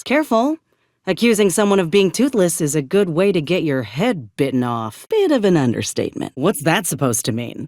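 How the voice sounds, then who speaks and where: Grim soldier outcast, studio-dry. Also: female voice, sits low, a little mellow, no echo. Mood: guarded, challenging. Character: grim, resolute outcast woman soldier, drawling and principled. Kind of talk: video game character dialogue.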